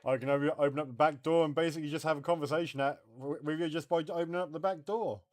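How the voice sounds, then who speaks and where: A man's voice: fairly high pitch, fairly even intonation, rapid-fire, a little mellow. A man chatting casually as a CB radio operator.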